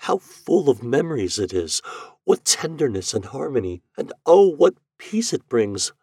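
A man voicing a grieving noble young woman in a storybook reading, in a high, emotional voice. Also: big pitch swings, unhurried, warm tone, husky, no room sound, clean studio sound, exaggerated voice. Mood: yearning.

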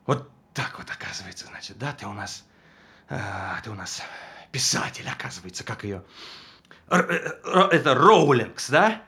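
A man playing a jealous cruel husband, mocking, hissing then yelling, bright lively voice. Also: medium pitch, moderate pace. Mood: quiet, mocking. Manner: mocking, swings from menacing whispers to shouting. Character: jealous abusive husband tormenting his wife. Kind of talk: stage drama performance.

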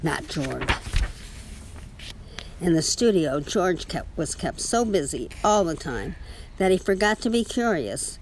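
A woman's voice: lowish voice, sing-song, slow, pauses often, a little hiss or hum. A woman reading a children's story aloud to a child, with casual asides.

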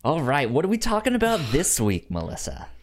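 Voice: mid-range voice, huge pitch swings, a little fast. A podcast co-host of unclear sex chatting casually about films, often stammering and repeating words and syllables. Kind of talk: casual film discussion podcast.

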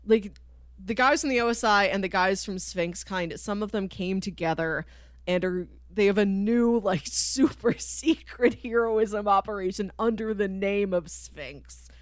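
A woman's voice: mid-range voice, measured pace, no room sound. Chatty woman, close dry sound. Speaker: podcast co-host. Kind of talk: casual chat, explaining and recapping a TV cartoon.

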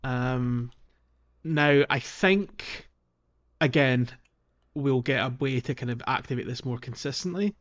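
A man's voice: mid-range voice, takes it slowly, muffled recording. A man co-hosting a casual video game podcast, talking in an informal, somewhat hesitant way. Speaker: podcast host.

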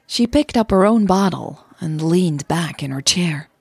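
A native Dutch-speaking woman with a husky voice, narrating a scripted podcast in a measured way. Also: fairly low pitch, expressive, dry recording. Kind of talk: scripted audio story narration.

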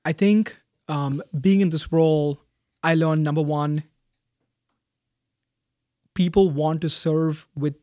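A young man, a graduating student, answering interview questions in a thoughtful, soft-spoken way with frequent fillers and restarts. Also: fairly high pitch, steady tone, slow pace, long pauses, dry recording, studio quality. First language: Malayalam. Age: young adult.

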